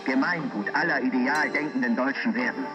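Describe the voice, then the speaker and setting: A man's voice: medium pitch, a little fast, muffled recording. A man reading a scripted historical report with formal, declamatory diction. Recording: music playing clearly underneath.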